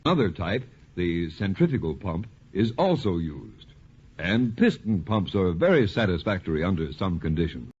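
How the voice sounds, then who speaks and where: Male expert, low-pitched, husky, scripted technical delivery, 1940s radio, muffled sound. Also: big pitch swings, moderate pace, a little mellow. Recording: dull vintage 1940s radio recording.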